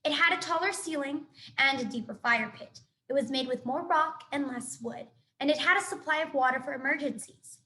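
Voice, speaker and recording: A woman teacher reads a children's novel aloud, telling the story with expression. Her voice is fairly high. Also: fairly quick, few pauses, pushed and bright, low-quality recording. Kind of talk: children's book read-aloud.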